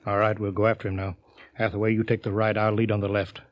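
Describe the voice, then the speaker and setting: An actor playing a grave, conscience-torn spaceship captain, earnest and commanding, in 1950s radio drama style. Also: male voice, fairly low pitch, flat delivery, quick pace, highs cut off, loud music behind the voice, natural voice. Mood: firm command. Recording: noisy vintage 1950 radio recording.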